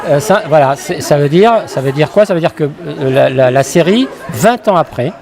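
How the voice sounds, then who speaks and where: A man's voice: a little high, expressive, moderate pace, vocal fry. Chatty man in his sixties, crowd behind. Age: 60s. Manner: chatty, repeats words while searching. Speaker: fan at a convention. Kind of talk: casual interview. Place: busy convention floor.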